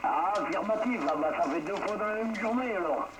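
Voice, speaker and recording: A man from northern France heard over two-way radio, chatting casually as an operator and pausing to search for words. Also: sits high, expressive. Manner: hesitant, halting, pauses to search for words. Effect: two-way radio transmission. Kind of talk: casual radio contact chat. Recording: noisy CB radio transmission with static.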